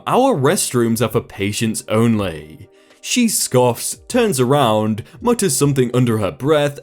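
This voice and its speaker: A man's voice: mid-range voice, a little mellow. A man reading online stories aloud in a scripted narration style, adding short comments between them. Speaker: narrator reading reddit posts.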